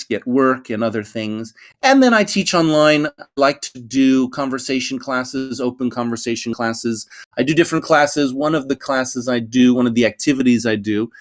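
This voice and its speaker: A middle-aged man with a New York accent hosts an online English class over voice chat. He talks in a friendly, meandering way, filling pauses with ums and starting sentences over. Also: mid-range voice. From United States. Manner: friendly, rambling with many fillers and restarts. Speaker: English teacher. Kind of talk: hosting an online conversation class.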